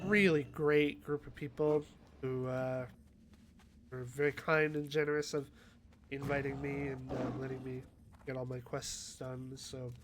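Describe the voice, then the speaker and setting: A man's voice: mid-range voice, background noise. A man with a cold, sniffly and a bit stuffed up, chatting casually and hesitantly while playing a game, with lots of fillers and restarts. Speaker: gaming YouTuber.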